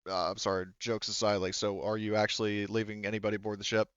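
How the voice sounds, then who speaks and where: Smooth-voiced man running a tabletop game. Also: on the low side, level tone, dry recording, dull audio. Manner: halting, conversational asides. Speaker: game master. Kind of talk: narrating a tabletop roleplaying game.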